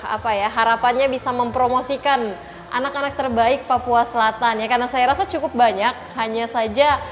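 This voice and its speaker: A woman's voice: a little high, steady tone, a little fast, slight background noise. A woman hosting a podcast interview in a conversational style, phrasing questions hesitantly and often seeking agreement. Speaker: podcast host interviewing a guest.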